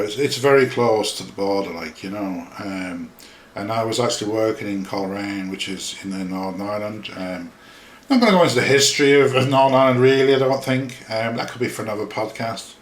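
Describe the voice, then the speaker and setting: A man's voice: on the low side, slight background noise. Man, Yorkshire accent with a hint of Scouse, middle-aged, podcast host, rambling, self-deprecating, roomy echo. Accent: Yorkshire English with a slight Liverpool tinge. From Yorkshire, England. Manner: chatty, self-deprecating, many fillers and restarts. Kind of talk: casual rambling talk over a screen-shared map. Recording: echoey room.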